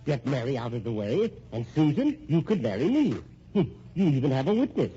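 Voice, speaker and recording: An actor playing a smug, scheming husband in an old-time radio drama, full of stammering, mock-genial courtesy. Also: male voice, mid-range voice, very animated, fairly quick, warm tone, close to an everyday voice. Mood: gloating, controlling. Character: scheming, smug, sardonic husband playing everyone against each other. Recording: muffled, noisy old radio recording.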